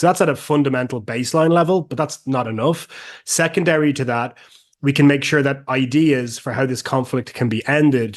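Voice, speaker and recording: A middle-aged Irish man speaking as an interview guest, at a medium pitch for a man. He explains things in a measured, thoughtful way, pausing on fillers and restarting phrases now and then. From Ireland. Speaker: guest, peace organization director. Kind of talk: interview answers.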